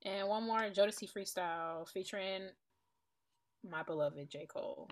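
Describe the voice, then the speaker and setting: A woman co-hosting a podcast, chatting casually about music in an animated, playful, colloquial way. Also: mid-range voice, moderate pace. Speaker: podcast co-host. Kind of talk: casual chat and music commentary.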